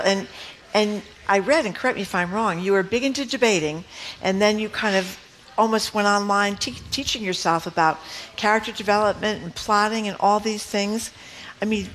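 A woman co-hosts a radio interview and fires off eager questions. Her voice sits at a middle pitch. Faint noise hums behind her. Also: a bit bright, rough recording. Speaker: radio co-host.

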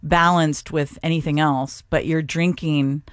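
A middle-aged woman chef from Seattle, talking thoughtfully in an interview with frequent pauses and restarts. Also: deep voice, steady tone, dry recording, studio quality. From Seattle, Washington, USA. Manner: thoughtful, hesitant with many restarts. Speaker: chef and guest. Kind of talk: radio interview conversation.

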